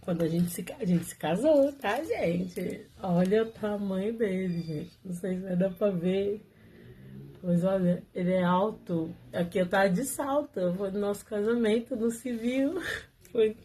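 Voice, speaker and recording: A woman tells her own story to her viewers, chatty and lively, breaking into laughter now and then. Her voice sits at a middle pitch with a soft, mellow tone. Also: a little slow, slight background noise, poor audio. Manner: chatty, animated, laughs often, addresses viewers. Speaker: vlogger telling her love story.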